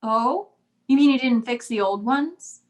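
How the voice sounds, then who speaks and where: A woman's voice: a little high, measured pace, natural voice. A woman playing a chatty, eager little schoolgirl in an old-time radio comedy, pert and childlike. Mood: excited.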